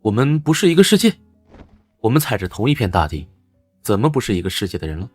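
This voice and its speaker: A man's voice: fairly low pitch, big pitch swings, fast, slightly rough, no room sound, soft background music. A man voicing a defiant, ambitious young former beggar in a Chinese period drama, speaking boldly and with self-assurance. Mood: earnest, familiar. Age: young adult.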